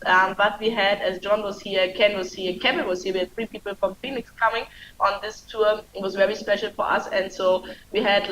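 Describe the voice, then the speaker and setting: A woman's voice: mid-range voice, a bit bright, muffled recording, slight background noise. A woman hosting a live show in a chatty, loose way, full of fillers. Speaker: show host.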